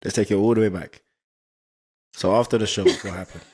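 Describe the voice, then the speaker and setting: A male interview host, hoarse from losing his voice, upbeat as he prompts his guests with questions. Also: fairly low pitch, fairly even intonation, brisk, a little mellow. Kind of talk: interview hosting.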